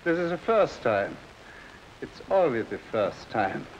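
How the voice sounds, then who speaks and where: A sly chuckling refugee drifter. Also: male voice, medium pitch, sing-song, unhurried, muffled recording. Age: middle-aged. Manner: wheedling, teasing, chuckling. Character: sly cheerful male refugee con man. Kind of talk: 1950s TV drama acting. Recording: old TV film sound with faint rain hiss.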